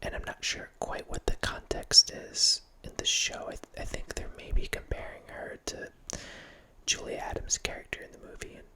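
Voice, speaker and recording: A man in his thirties hosting a whispered ASMR ramble, speaking softly right into a close studio microphone in a small home room, with lots of self-corrections. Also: normal speed. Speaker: ASMR channel host. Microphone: close studio microphone, wearing headphones.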